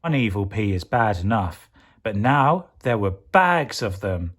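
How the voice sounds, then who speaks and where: A man narrating a children's storybook as a storyteller, in a dramatic, theatrical and expressive style. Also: fairly low pitch, unhurried.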